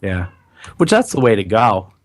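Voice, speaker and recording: A man with a raspy, hoarse voice that is recovering, chatting casually and a bit haltingly as a podcast host. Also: on the low side, sing-song, dry recording. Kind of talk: casual chat.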